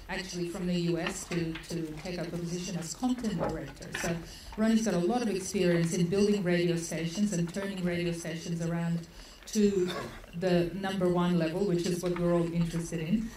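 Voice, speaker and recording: A woman from Australia, an event organizer giving chatty opening remarks at a seminar, laughing often and using many fillers. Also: lowish voice. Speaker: event organizer introducing speakers. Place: conference hall. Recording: echoey hall, far from the mic, noisy.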